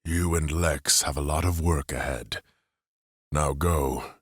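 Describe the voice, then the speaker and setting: A man voicing a deep, grave armored warrior. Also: slightly rough, no room sound, studio quality, exaggerated voice. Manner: grave, measured. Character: huge stoic armored man, weary leader.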